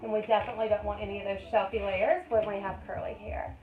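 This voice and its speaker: A woman's voice: mid-range voice, little pitch movement, quick pace. A woman in her thirties, a hairstylist, chatting and explaining each step as she cuts hair for a tutorial. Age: 30s. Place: hair salon. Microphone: phone camera. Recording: echoey room, tinny phone-like sound, faint noise.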